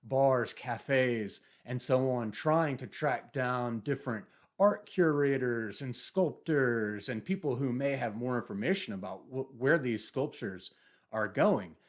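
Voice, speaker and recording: Man, book reviewer, thoughtful, musing, mid-pitched voice, unhurried reflective talk. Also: animated. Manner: thoughtful, musing, reads passages aloud.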